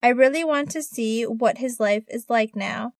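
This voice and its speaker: Eager teenage girl for an exam dialogue, read by a woman, fairly high and dry. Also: normal speed, no echo, close to an everyday voice. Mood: earnest. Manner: clear, careful textbook delivery. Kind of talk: scripted listening-test dialogue.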